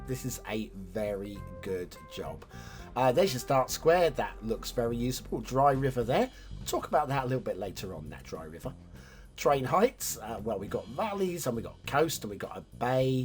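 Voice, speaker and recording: Hoarse man, lively delivery. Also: medium pitch. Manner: chatty, animated, sudden jumps in loudness. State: hoarse from a chest infection. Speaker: game map reviewer, host. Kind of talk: casual commentary over gameplay.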